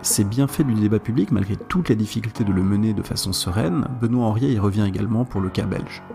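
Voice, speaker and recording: Brisk male podcast host with a creaky edge. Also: on the low side, warm tone, soft background music. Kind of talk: scripted narration linking interview excerpts.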